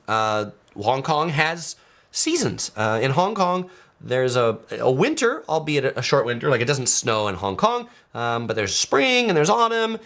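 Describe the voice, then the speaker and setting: Chatty American man, lively voice. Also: mid-range voice. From San Diego, California, USA. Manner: chatty, loose, many filler words. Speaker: travel vlogger. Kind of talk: casual live-stream chat reading viewer comments.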